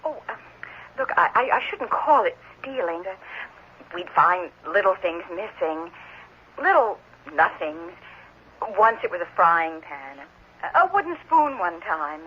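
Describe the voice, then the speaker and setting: A woman's voice: on the low side, sing-song, unhurried, sharp tone, slight background noise, heavily acted. An actress playing a flustered, apologetic foster mother who stammers and repeats her words in a 1970s radio drama. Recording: muffled vintage radio recording.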